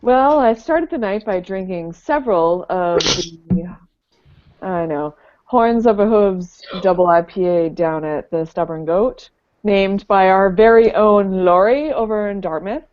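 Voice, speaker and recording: A woman's voice: medium pitch, measured pace. A woman chats and jokes with friends over a live video call. Her voice sits mid-range. The webcam audio is muffled and lo-fi. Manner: playful, joking. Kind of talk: casual chat.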